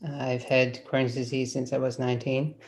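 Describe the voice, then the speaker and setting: A man in his twenties with a New Zealand accent, a guest on a video call, talking in a relaxed, hesitant way. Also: mid-range voice, normal speed. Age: 20s.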